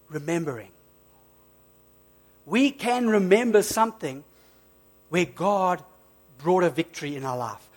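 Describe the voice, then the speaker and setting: A man preaching a sermon, emphatic and repetitive, slowing down on key phrases, a bit hoarse from flu. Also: on the high side. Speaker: pastor.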